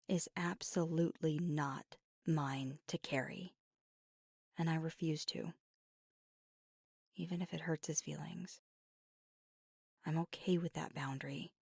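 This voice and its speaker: A woman playing a weary, guarded werewolf girlfriend confiding in an intimate ASMR roleplay, in a halting, breathy near-whisper. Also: low-pitched, no room sound, clean studio sound, heavily acted. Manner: halting, hushed, confessional, with pauses. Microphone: close microphone, dry.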